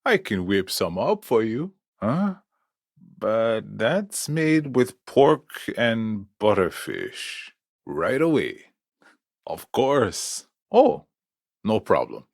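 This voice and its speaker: Unhurried, lively-pitched restaurant server. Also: male voice, gravelly, no room sound, clean studio sound, full character voice. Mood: obliging. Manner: polite, accommodating. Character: obliging, easygoing male restaurant server. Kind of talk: video game character acting.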